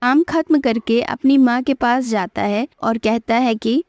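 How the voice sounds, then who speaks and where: A woman's voice: a little high, a little slow, a little mellow. A woman narrating a scripted moral cartoon story as its storyteller.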